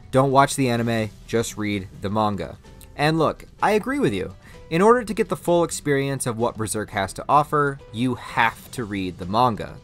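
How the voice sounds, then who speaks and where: A man hosting a video essay, explaining things in an emphatic, conversational way with scripted commentary. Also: mid-range voice. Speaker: video host reviewing anime.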